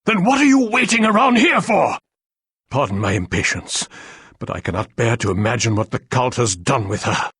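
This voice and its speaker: Very raspy, anxious father. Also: medium pitch, sing-song, moderate pace, a bit bright, no echo, studio quality, heavily acted. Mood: impatient. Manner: urgent, formal, dramatic. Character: anxious, impatient, commanding father of missing daughter. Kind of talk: video game voice acting.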